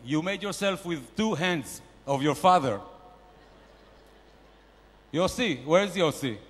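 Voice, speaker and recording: A man's voice: lively intonation, slow, husky, exaggerated voice. A man playing a brash, boastful male investor nicknamed a shark, barking bossy mock-grand business advice at a conference panel. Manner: loud, bossy, mock-grand sales pitching. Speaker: comedian performing at a panel. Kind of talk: stand-up character bit. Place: large conference hall. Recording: reverberant conference hall.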